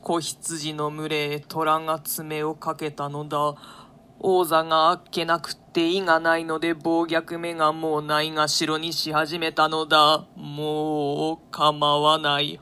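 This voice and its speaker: Woman as a slow, crisp-voiced stern queen. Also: sits low, pushed and bright. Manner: measured, grave theatrical diction. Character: stern, foreboding widowed queen and mother. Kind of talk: classical stage play reading.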